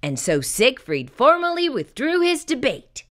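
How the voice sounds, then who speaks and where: A woman reading a children's picture book aloud in expressive, scripted narration. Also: high-pitched, unhurried.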